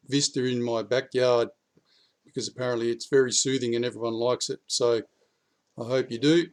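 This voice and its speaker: A blunt Australian man with a slightly croaky voice after the flu, talking straight to camera and swearing freely. His voice climbs and gets louder when he presses a point. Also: medium pitch. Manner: blunt, swears, rising heat when urging. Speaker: prepping vlogger. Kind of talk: casual political talk to camera. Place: outdoors in his backyard.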